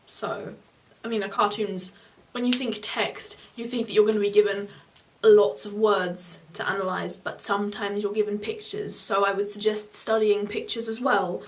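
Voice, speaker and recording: A young woman, a student, giving exam advice in a casual on-camera chat, talking fast with lots of self-corrections. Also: medium pitch, poor audio. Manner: chatty, animated hand gestures, self-correcting. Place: small home room, indoors. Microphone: camera in front of two seated speakers.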